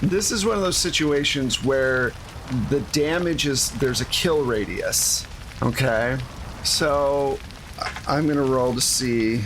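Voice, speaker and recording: A man's voice: mid-range voice, takes it slowly, noisy background. A man narrating a tabletop roleplaying game as game master in a casual, conversational way.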